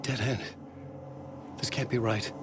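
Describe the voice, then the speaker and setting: An actor playing a troubled, brooding writer trapped in a nightmare, muttering and questioning himself in a dramatic game. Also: male voice, medium pitch, steady tone, measured pace, natural voice. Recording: loud music and ambient noise behind.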